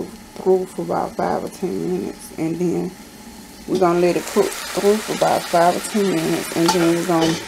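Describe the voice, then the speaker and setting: A woman's voice: lowish voice, creaky, poor audio. A woman chatting casually while she cooks in her home kitchen, rambling as she works at the stove. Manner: relaxed, rambling, talks while cooking. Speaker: home cooking vlogger. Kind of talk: casual cook-along narration. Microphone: camera pointed at the pot, speaker off-frame. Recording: home camera with kitchen noise.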